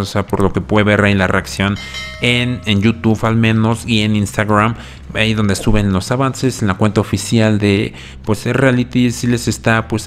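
A man hosting a video channel in a casual, conversational way, commenting off the cuff and often checking in with tag questions. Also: on the low side. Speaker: YouTube host recapping reality TV. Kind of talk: casual scripted-free commentary to subscribers.

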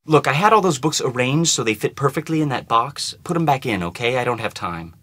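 Whiny slacker guy, swooping pitch. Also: expressive, a little fast, a bit bright, no echo, clean studio sound. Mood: laid-back, offhand. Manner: casual slacker drawl, defensive and pleading. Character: whiny, anxious young slacker man, pushed around by his girlfriend. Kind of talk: video game voice acting.

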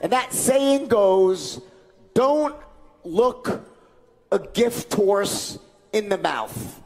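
A man's voice: high voice, sing-song, very slow, a little mellow, soft background music. A middle-aged man giving a motivational stage speech into a headset mic, emphatic, repetitive and rhetorical. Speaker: motivational speaker. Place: large event stage indoors. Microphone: headset microphone.

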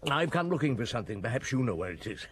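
An actor in a radio drama playing a brooding police chief inspector, deliberate and questioning, thinking aloud. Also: male voice, mid-range voice, big pitch swings, a bit bright. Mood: probing. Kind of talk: BBC radio drama acting. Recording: noisy old radio broadcast.

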